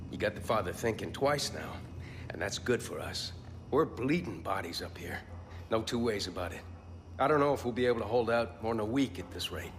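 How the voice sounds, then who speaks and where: Low-voiced, grave resistance leader. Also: male voice, a bit bright, in a large hall, slight background noise. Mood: measured. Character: grave, plain-spoken male resistance leader briefing a fighter. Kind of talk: video game mission briefing.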